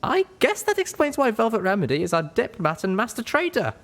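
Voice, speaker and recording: A man's voice: high-pitched, huge pitch swings, a little fast, a bit bright, pitched above the performer's own voice, exaggerated voice. A man voicing an anxious, devoted young female pony adventurer in an audiobook, earnest and fretful. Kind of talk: audiobook character voice.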